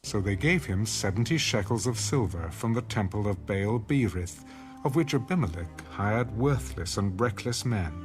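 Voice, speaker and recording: Deep-voiced male scripture narrator over music. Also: expressive. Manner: measured, formal storytelling. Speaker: audio Bible narrator.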